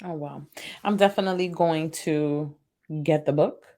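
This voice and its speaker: A woman's voice: low voice, moderate pace, mellow. Encouraging woman podcast host. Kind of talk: casual interview chat.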